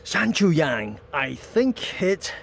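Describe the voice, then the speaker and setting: A man's voice: fairly high pitch, big pitch swings, takes it slowly, vocal fry, heavy background noise, exaggerated voice. A man playing a reluctant, anxious gangster underling in a video game cutscene, plaintive, hesitant and blunt.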